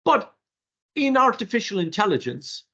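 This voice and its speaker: An older Irish man whose first language is Irish Gaelic gives a webinar workshop in an animated, rhetorical way, with theatrical asides, heard over a video call. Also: sits high, moderate pace, breathy edge. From Ireland. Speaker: workshop presenter. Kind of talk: webinar lecture. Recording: dull lo-fi webinar audio.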